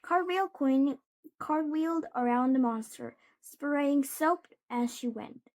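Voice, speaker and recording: A woman reading a children's storybook aloud in a deliberate way, with occasional stumbles. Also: sits high, a little mellow. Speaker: storybook reader.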